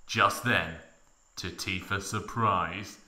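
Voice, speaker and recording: A man's voice: deep voice, fairly even intonation, takes it slowly, pushed and bright. A man narrating a comic dramatic reading in a mock-serious, theatrical manner with heavy emphasis.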